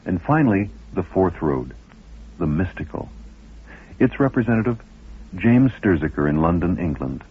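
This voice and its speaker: Deep-voiced, animated male radio host. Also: moderate pace, pauses often, husky. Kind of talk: scripted documentary narration. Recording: narrow phone-like old radio broadcast.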